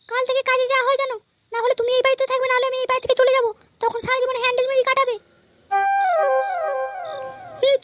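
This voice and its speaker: A man voicing a bossy, sharp-tongued young wife in a comedy cartoon, with a pitched-up filter, scolding and domineering. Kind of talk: amateur comedy cartoon voice acting.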